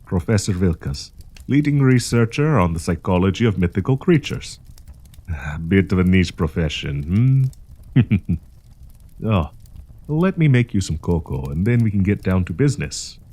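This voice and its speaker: Casting: male actor as genteel old professor, secretly predatory; deep, swooping delivery, deliberate and playful, for intimate roleplay audio. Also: sing-song, mellow. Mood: welcoming. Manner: courtly, teasing, chuckling, deliberate. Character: kindly old male professor hiding a predatory, possessive menace.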